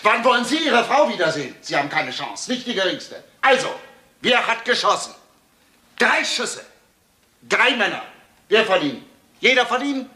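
A man's voice: sits high, big pitch swings, moderate pace, pauses often, sharp tone, breathy edge, exaggerated voice. A man playing a relentless, sarcastic police inspector in a crime radio drama, firing insistent questions. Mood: forceful, pressing.

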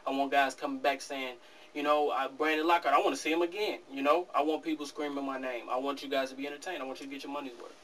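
Eighteen-year-old male rapper, quick and earnest, recorded off-mic in a small room. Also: mid-range voice, level tone, a bit bright. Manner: fast, earnest, laughs easily. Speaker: rap artist guest. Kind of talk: casual interview. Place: small office studio. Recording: distant camera mic with faint room noise.

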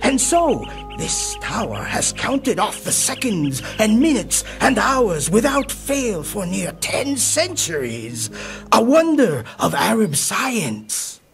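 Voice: mid-range voice, big pitch swings, takes it slowly, full character voice. An actor playing a grave, proud guide to an ancient tower in an audio comic drama. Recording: music clearly underneath.

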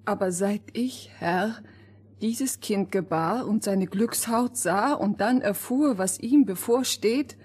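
Husky, fervent mother. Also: medium pitch, takes it slowly, darkish tone. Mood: fervent, tearful. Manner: emotional, fervent. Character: devoted, anxious miller's wife and mother. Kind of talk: German fairy-tale audio drama acting.

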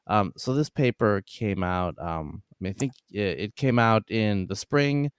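Male podcast host, low smooth voice, dry close sound, hesitant casual delivery. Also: expressive, measured pace, dry recording. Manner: hesitant, many fillers and restarts. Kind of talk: casual interview.

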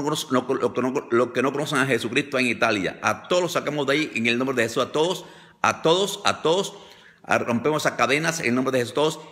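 A man's voice: mid-range voice, a bit bright. An older man leading a fervent, repetitive deliverance prayer on a phone video call, commanding and declaring. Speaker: deliverance preacher.

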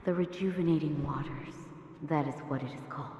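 Woman voicing game doctor lady, deep slow voice, calm and formal, soft-spoken, noisy ambience. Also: lo-fi sound, natural voice. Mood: serious, thoughtful. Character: composed, gentle female physician, quietly wry and formal. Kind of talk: video game voice acting.

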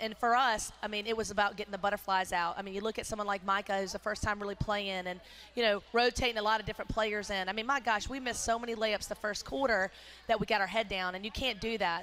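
A middle-aged woman, a basketball coach, talking in a postgame interview on a headset mic at courtside, energetic and emphatic with many fillers. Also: medium pitch. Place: courtside in an indoor arena.

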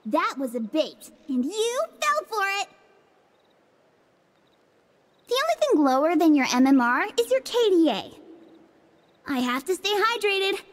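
A woman's voice: very high-pitched, exaggerated voice. A woman voicing a cocky, competitive and playful young girl champion in a video game, with brash, clipped delivery. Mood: gleeful, taunting. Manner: brash, taunting, clipped commands. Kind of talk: video game voice pack callouts.

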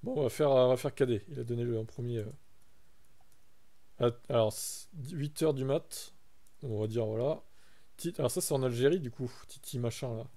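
A man's voice: mid-range voice, normal speed, dark tone. A young man from France chatting casually on a live stream, reacting to his chat and reading text aloud.

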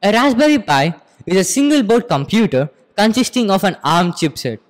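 A man's voice: sits high, lively intonation, moderate pace. A teenage boy with an Indian accent formally explaining a school project in a rehearsed presentation. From Andhra Pradesh, India. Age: teens, about 15. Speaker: student presenter. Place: school computer lab, indoors. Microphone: handheld microphone. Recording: echoey room, long reverb.

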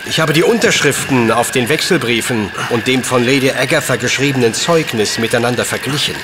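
An actor playing a brilliant, pedantic professor detective in a German audio drama, speaking in precise, formal, commanding sentences. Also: male voice, medium pitch, brisk, noisy background, natural voice. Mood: matter-of-fact, analytical.

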